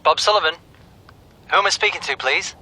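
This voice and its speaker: Sharp, curt businessman on the phone. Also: sits high, brisk, full character voice, low-quality recording. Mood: brisk. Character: brisk, suspicious businessman son on the phone. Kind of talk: naturalistic radio drama acting.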